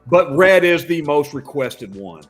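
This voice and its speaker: An older man who works as a Santa, a guest on a podcast, talking in a loud booming voice with frequent restarts. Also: sing-song, unhurried, faint music behind. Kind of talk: casual podcast chat.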